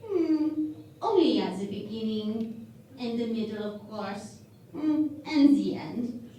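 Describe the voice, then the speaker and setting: A woman playing a teasing, commanding starship doctor, sultry and deliberate, in a parody sci-fi radio play. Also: on the high side, lively intonation, dark tone, heavy reverb, far from the mic, slight background noise, poor audio.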